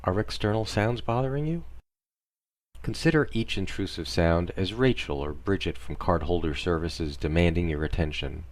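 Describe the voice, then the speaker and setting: A man narrating a scripted parody guided meditation in a deadpan, soothing instructional manner. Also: low voice, normal speed, no echo.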